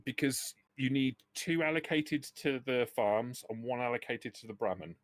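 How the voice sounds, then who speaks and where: A man's voice: mid-range voice, normal speed. A man explaining rules conversationally as the game master of a tabletop roleplaying session.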